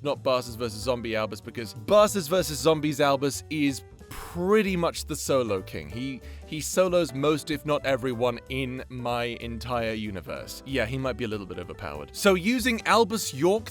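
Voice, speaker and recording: A man hosting a channel with casual, sweary, rambling commentary in an informal, chatty way. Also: mid-range voice. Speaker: creator and host of a fan-facing channel.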